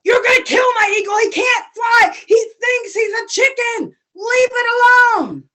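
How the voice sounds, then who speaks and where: A woman voicing an excitable male farmer who shouts and flusters when his eagle is hurt. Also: sits high, brisk. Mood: alarmed shouting.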